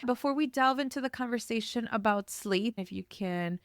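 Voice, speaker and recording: A woman's voice: a little high, normal speed, no echo, clean studio sound. A woman in her thirties hosting a podcast in an upbeat way, mixing Spanish words into her English. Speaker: podcast host. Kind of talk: podcast hosting with scripted intro.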